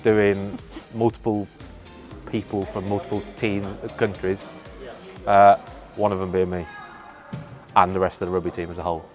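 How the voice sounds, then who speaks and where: Lowish-voiced man. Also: flat delivery, normal speed, long pauses, a little mellow, faint music behind. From Horncastle, Lincolnshire, England. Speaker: athlete, wheelchair rugby player. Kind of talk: casual interview talk.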